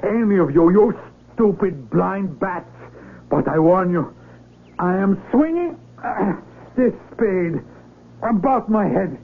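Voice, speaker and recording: An old, tinny radio play has a proud young mountaineer, desperate and defensive. His voice sits a little high, with an earnest dramatic delivery. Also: expressive, takes it slowly, creaky, breathy edge, full character voice. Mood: defiant, desperate. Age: young adult. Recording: tinny vintage radio broadcast.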